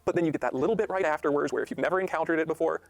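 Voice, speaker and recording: Man, higher-pitched, gravelly creak, animated game designer, conversational explaining. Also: rapid-fire. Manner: animated, acts out imagined reader reactions. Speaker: game designer being interviewed.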